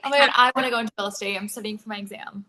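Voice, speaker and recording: A woman's voice: medium pitch, racing, noisy background. A woman in her twenties hosting a casual podcast interview, speaking in an effusive, gushing, slangy way. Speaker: podcast host.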